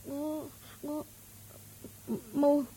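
A woman's voice: high-pitched, monotone, extremely slow, warm tone. A woman playing a timid young daughter-in-law in a radio drama, stammering and fearful. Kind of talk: Cantonese radio drama acting.

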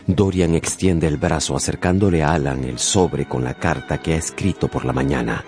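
Deep-voiced male radio drama narrator. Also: darkish tone, soft background music. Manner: measured, suspenseful, deliberate phrasing.

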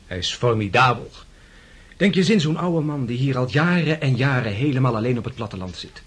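An actor playing a composed, earnest young prince and officer in a radio drama, speaking in a husky, measured, reasoning way, courteous but firm. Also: male voice, mid-range voice, lively intonation.

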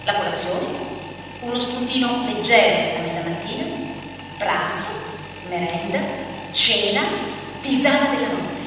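A woman's voice: mid-range voice, unhurried, long pauses, natural voice. An actress playing an intense, volatile female caretaker in a theatrical radio-play monologue, with emphatic, fragmented delivery. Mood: earnest, explanatory. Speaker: stage actor in a radio play. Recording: very echoey hall, distant mic, clear noise.

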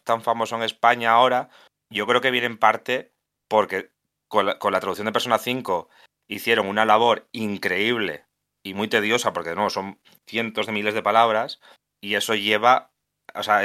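A man's voice: lowish voice, pushed and bright. A man from Asturias with an Asturian Spanish accent, a video game translator chatting on a podcast and often restarting his sentences as he thinks. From Asturias, Spain. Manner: thoughtful, many restarts and self-corrections. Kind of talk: casual podcast conversation.

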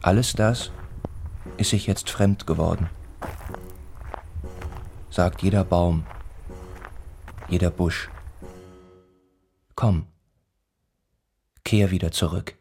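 A man narrating a literary radio play in a deliberate, rhythmic way, with repeated phrases, as a stern teller of a bleak village tale. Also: sits low, steady tone, gravelly, darkish tone, soft background music, exaggerated voice. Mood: bleak, sober.

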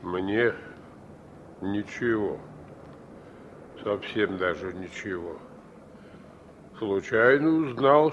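An actor playing a sly old criminal go-between in a Russian radio drama, wheedling and needling with mock affection and menace. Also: male voice, medium pitch. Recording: dull old radio recording, faint noise.

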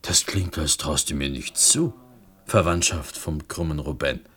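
An actor playing a talkative, earnest and persuasive poor potter in a German radio play. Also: male voice, very deep voice, measured pace, fairly bright tone, breathy edge, exaggerated voice. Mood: earnest, emphatic.

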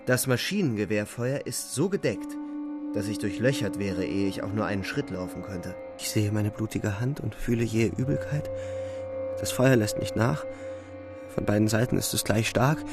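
An actor playing an anguished young soldier in a radio play, delivering a grave, halting, intense first-person monologue. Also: male voice, fairly low pitch, natural voice. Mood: tense dread. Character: anguished young male soldier recounting a killing in a shell hole. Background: music.

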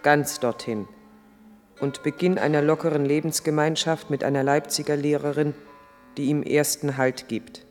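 A male actor plays a probing, sober chronicler from an eighties German radio drama. His voice sits a little high. He narrates in scripted style within a long reverb, music faintly behind. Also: steady tone, normal speed, natural voice. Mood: sober, deliberate.

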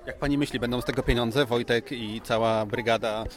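A man's voice: medium pitch, steady tone, fairly quick, a crowd in the background. A man co-hosting a podcast, asking casual questions with teasing, tongue-in-cheek banter. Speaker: podcast co-host, interviewer.

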